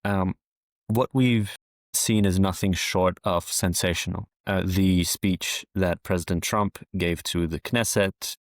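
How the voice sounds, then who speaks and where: A man born in France, a historian answering interview questions at a measured pace, often restarting phrases with fillers. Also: low-pitched, steady tone, no echo, studio quality. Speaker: podcast guest, historian. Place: London, UK.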